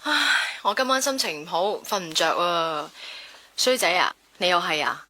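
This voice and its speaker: Coy young Cantonese girl, bright, animated. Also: on the low side, moderate pace. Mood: downcast, teasing. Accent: Hong Kong Cantonese. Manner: coy, teasing. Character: coy, teasing young woman chatting online. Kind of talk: radio drama acting.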